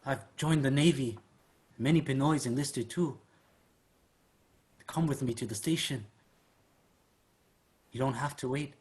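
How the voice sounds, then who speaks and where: A man's voice: mid-range voice, a little slow, pauses often, darkish tone, natural voice. An actor playing a proud, stubborn elder brother, a plain-spoken man in a staged drama. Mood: heartfelt, resolute. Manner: plain-spoken, heartfelt, defiant when provoked. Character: Amado, proud, stubborn elder Filipino brother, rough drifter. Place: online virtual staged reading.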